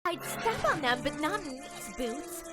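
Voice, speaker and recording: A vain teenage fairy princess from a cartoon, voiced by a woman. Her voice is extremely high and very animated, with a haughty, theatrical air. Loud music plays behind her. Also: measured pace. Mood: bossy alarm. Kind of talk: cartoon fan dub acting.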